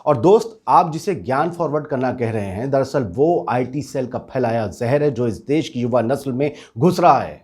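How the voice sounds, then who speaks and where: A man's voice: mid-range voice, expressive, moderate pace, hardly any pauses, darkish tone, slightly rough. A man acting as a reasonable office worker in a satirical drama, questioning and challenging in an earnest, sardonic way. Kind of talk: scripted satirical dialogue.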